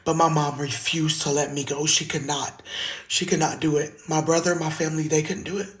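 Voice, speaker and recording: A woman with a raspy voice and a slight lisp, a singer telling her own story to a phone camera in an earnest, heartfelt way. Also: sits low, flat delivery, a little fast. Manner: earnest, heartfelt, plain-spoken. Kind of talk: personal testimony to camera. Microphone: phone video.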